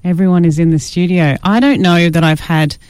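A woman's voice: fairly low pitch, fairly even intonation, brisk, a little mellow, slight background noise. A woman radio host chatting casually and live in a studio, with a self-deprecating, informal manner. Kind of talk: casual live interview chat. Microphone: studio microphone.

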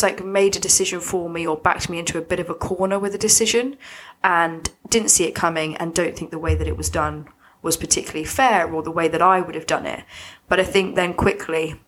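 A woman co-hosting a podcast, a little sniffly and husky from a cold, explaining her thoughts at length. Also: lowish voice, slight background noise, poor audio. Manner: reflective, talks in long loose explanations. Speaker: podcast co-host. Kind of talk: casual podcast chat.

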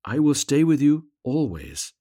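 A man's voice: medium pitch, sing-song, a little slow, dark tone, pitched above the performer's own voice. A man voicing a small, devoted, kind-hearted male swallow in a storybook, speaking earnestly and with exclamation. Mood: tender resolve.

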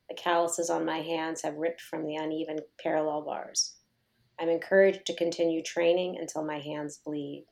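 Middle-aged woman, yoga author, reading her essay in a measured, low, level tone. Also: darkish tone. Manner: slow, measured, reflective reading.

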